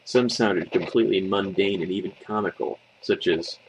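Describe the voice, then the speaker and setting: Deep, creaky-voiced man narrating horror. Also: normal speed, slight background noise, rough recording. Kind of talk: scripted creepypasta narration.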